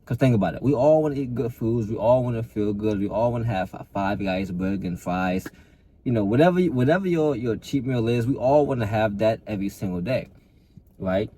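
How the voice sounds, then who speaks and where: A young man with a low, mellow voice speaks straight to his viewers as a fitness coach. He pushes hard and earnestly, doubling back on his words as he goes. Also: expressive, brisk, husky, rough recording. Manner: repeats and restarts words, earnest motivational push. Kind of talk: casual talk to camera.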